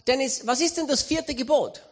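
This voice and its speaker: A man with an Austrian accent preaching a sermon, telling a story with humor and lively emphasis. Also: extremely high pitch, moderate pace. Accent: Austrian German. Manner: animated, humorous anecdotal delivery.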